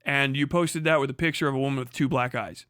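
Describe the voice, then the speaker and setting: A man voicing a methodical male lawyer who asks a witness question after question in a flat, procedural way. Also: mid-range voice, fast talker, clear, resonant tone, no room sound, clean studio sound, pitched below the performer's own voice, close to an everyday voice.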